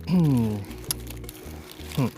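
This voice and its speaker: A man's voice: medium pitch, animated, drawn-out words, over music. A young man co-hosting a live studio show with casual banter.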